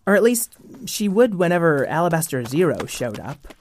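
A man hosting a children's story podcast in a lively, chatty style. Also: on the high side, moderate pace.

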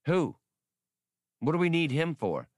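A man's voice: on the high side, big pitch swings, a little slow, a little mellow, no room sound, clean studio sound, pitched above the performer's own voice. A man voicing a brash, impatient starship pilot with a blunt, challenging manner in an audiobook. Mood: demanding.

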